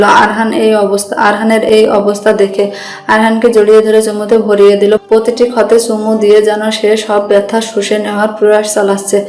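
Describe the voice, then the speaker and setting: A woman's voice: medium pitch, a little mellow. A woman narrating a dramatic scripted story. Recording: roomy echo with music bed.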